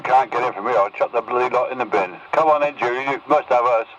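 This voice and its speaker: A man's voice: mid-range voice, fast. A man, a radio operator, making casual calls over a CB radio transmission. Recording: distant, muffled, very noisy CB radio.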